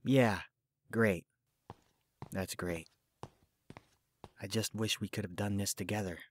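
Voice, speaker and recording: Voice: sits low, steady tone, slow pace, slight background noise. An actor playing a teenage boy recruit who is subdued but reassuring, in a fan audio drama. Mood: wistful. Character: downcast teenage boy recruit, putting on cheer.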